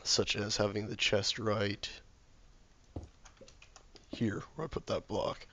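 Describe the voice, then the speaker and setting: A man with a sore throat and a slightly hoarse voice, casually commentating his game while he builds, mumbling and thinking aloud to himself. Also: fairly low pitch, flat delivery, slow pace, fairly bright tone, slight background noise. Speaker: let's play gamer.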